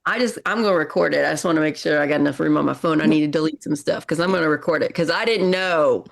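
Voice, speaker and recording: A woman in her forties from Indiana speaks as a guest candidate, earnest and eager. Her voice sits a little low for a woman. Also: fast, gravelly. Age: 40s. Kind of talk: casual interview chat.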